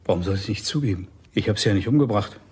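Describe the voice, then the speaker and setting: Low-voiced cornered widower. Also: male voice, expressive, fast talker, a little mellow, breathy edge. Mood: resigned confession. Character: cornered widower, bitter, evasive, confessing suspect. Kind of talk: crime radio drama acting.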